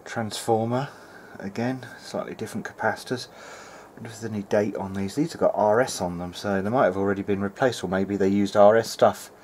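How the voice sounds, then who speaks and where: A man's voice: lowish voice, steady tone, brisk, few pauses, darkish tone. A man thinking aloud hesitantly as he hosts a casual hands-on electronics demonstration at a workbench. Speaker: host, hobbyist electronics repairer. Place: indoor workbench. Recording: clear background noise, workshop room.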